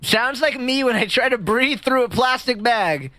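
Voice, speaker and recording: A man streaming live with a squeaky voice, chatting with his chat in a rambling, jokey way that jumps up high when he gets excited. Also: normal speed, no echo. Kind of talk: casual livestream chat reading chat. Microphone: streaming mic.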